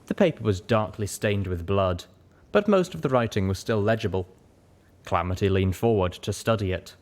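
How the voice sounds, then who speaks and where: A man's voice: fairly low pitch, animated, normal speed, darkish tone. A man narrating a first-person fantasy story as a dramatic audiobook reader.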